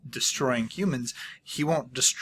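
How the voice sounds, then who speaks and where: A man hosting his own channel, casually reviewing a book with many pauses, ums and frequent throat clearing. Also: medium pitch, a bit bright, a little hiss or hum. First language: not Polish.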